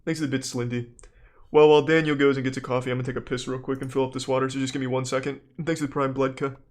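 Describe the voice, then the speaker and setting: A man's voice: mid-range voice, flat delivery, very fast. A man streaming casually, laid-back, thanking his viewers between chess games. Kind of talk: casual livestream chat.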